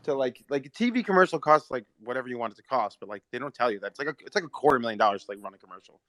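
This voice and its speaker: A man's voice: medium pitch, animated, fairly bright tone, dry recording. A man co-hosting a podcast in casual chat, joking and swearing freely. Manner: fast, profane, joking. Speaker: podcast co-host.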